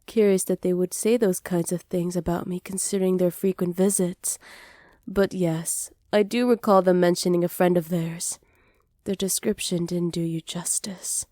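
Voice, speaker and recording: A sly, self-assured witch played by a woman speaks softly in a warm, dark-toned voice for an ASMR roleplay. She is flirtatious and hospitable, teasing and flattering as she goes. The sound is very dry, with no room around it. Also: lowish voice, dry recording, close to an everyday voice. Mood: sly, amused. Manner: soft-spoken, teasing, flattering, partly whispered. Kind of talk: ASMR roleplay, slow scripted acting.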